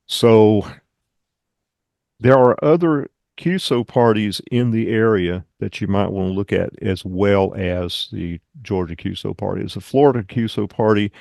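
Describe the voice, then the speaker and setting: Guy with a low crackly voice rambling through a club presentation on a video call, pretty dry sound. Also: creaky, a little mellow, no room sound.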